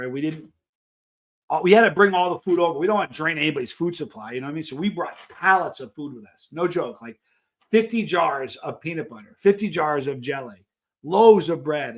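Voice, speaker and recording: Middle-aged male streamer, voice a little on the high side, informal chat with viewers, animated anecdote teller. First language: English. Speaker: live stream host. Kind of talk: casual chat answering live viewers, telling travel anecdotes.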